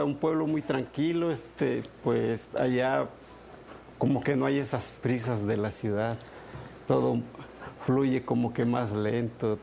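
A man's voice: medium pitch, a little mellow. A middle-aged man with a coastal Mexican accent talks casually in an interview, in a hesitant, humble and unpolished way. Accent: coastal Mexican Spanish. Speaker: interview guest, farmer and non-professional film actor. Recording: clear background noise.

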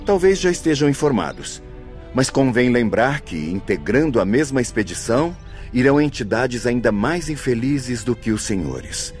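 A man's voice: medium pitch, very animated, moderate pace, a little mellow, lightly acted. A man with a Brazilian accent acting a courteous, reverent spirit guide in a radio drama, explaining things formally and earnestly. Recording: music under the voice.

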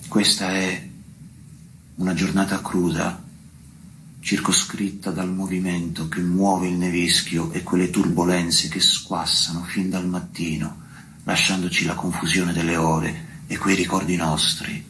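Very deep, slow man reciting poetry. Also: little pitch movement, fairly bright tone, a little hiss or hum, rough recording. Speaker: poetry reader at a tribute recital.

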